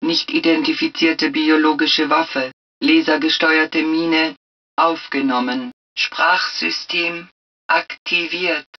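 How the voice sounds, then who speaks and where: A dull, slightly distant game recording carries the calm computer voice of a combat suit. She speaks very slowly in measured, clipped phrases. Every announcement is impersonal and matter-of-fact. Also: sits low. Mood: impersonal, alert. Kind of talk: scripted system announcements in a video game.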